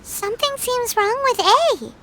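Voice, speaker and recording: A woman voicing a tiny, childlike fairy sidekick in a video game, chatty, chirpy and excitable. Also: very high voice, measured pace, a little hiss or hum, full character voice. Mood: perky, curious. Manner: expressive, exclamatory, chirpy. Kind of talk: English video game voice acting.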